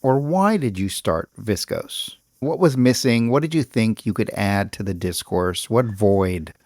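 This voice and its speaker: A man hosting a podcast, reading a measured, articulate intro and asking interview questions. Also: on the low side, lively intonation, a little mellow, dry recording, studio quality. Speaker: podcast host. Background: music.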